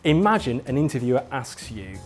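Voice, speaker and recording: A man's voice: medium pitch, sing-song, a little fast. Emphatic instructional man over music. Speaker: instructor, presenter. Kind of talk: scripted instructional narration addressed to viewer.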